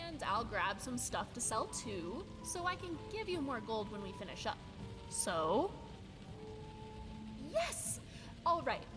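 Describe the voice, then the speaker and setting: A woman's voice: high-pitched, full character voice. A woman playing a scatterbrained, bookish young female scholar in a video game, haughty and easily distracted, with hesitant asides. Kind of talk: video game companion dialogue. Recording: game music behind the voice.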